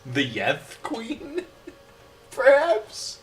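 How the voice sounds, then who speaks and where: A man's voice: extremely high pitch, huge pitch swings, drawn-out words, recorded from a distance, faint music behind. A man playing a tabletop game, chatting casually in a joking, wisecracking manner. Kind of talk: casual chat during a game. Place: home, around a gaming table. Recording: picked up away from the mic.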